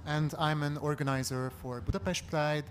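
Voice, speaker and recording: A man introducing himself as a pride organizer on a live panel, speaking in a measured way. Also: a little high, steady tone, a little hiss or hum.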